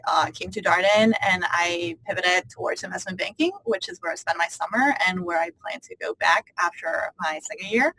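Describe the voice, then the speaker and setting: Woman from Spain, flat even pitch, bright tone, steady storytelling. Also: rough recording. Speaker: MBA student panelist. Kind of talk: fluent self-introduction.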